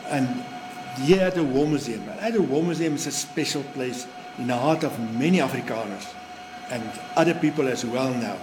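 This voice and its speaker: A South African man giving halting, emphatic interview remarks as a museum spokesperson. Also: medium pitch. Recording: echoey room with background noise.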